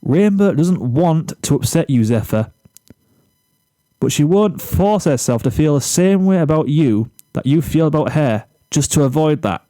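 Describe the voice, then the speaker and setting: A man reading a storybook character, an exasperated, blunt teenage boy who speaks firmly and deliberately. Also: on the high side, lively intonation, warm tone, dry recording, voice pushed up, rough recording. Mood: firm, insistent. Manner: firm, emphatic, deliberate.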